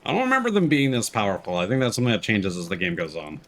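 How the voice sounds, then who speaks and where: A man's voice: fairly low pitch, huge pitch swings, fast talker, a bit bright. A man giving casual, laid-back gameplay commentary as a streamer, musing as he plays. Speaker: game streamer. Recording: noisy game audio behind voice. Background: video game sounds.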